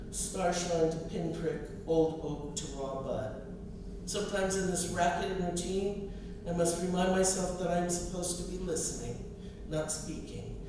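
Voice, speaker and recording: American woman poet reading aloud, kinda low voice for a lady, measured phrasing, super echoey room. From US East Coast. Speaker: poet and college professor reading own work. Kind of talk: literary reading of poetry and personal essay. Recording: distant mic, echoey room, clear noise.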